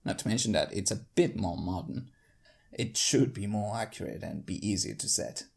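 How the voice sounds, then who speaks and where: A narrator reading scripted first-person fiction in character, with deliberate, dramatic phrasing. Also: low-pitched, lively intonation, gravelly, a little mellow. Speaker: fanfiction narrator.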